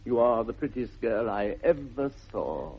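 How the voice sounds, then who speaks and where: Suave, animated English dandy. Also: male voice, mid-range voice, a little slow, slightly rough. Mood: flirtatious. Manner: suave, gallant. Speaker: actor in a radio play. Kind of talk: 1960s British radio comedy acting. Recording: dull lo-fi vintage radio recording.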